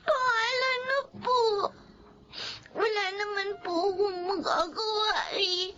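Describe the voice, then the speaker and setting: A helpless little orphan girl with a tiny, extremely high voice. She speaks slowly and respectfully through sobs, in a dull radio drama recording. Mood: grieving, crying. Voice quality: small childlike voice. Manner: sobbing, halting, respectful. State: crying. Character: tearful orphaned young girl, polite and helpless.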